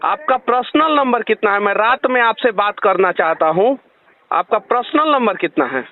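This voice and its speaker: A man's voice: high voice, expressive, normal speed. A man with a Bihari Hindi accent arguing insistently on a phone complaint, firing sarcastic rhetorical questions. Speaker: caller confronting a loan telemarketer. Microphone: phone line. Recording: tinny, narrowband phone call recording.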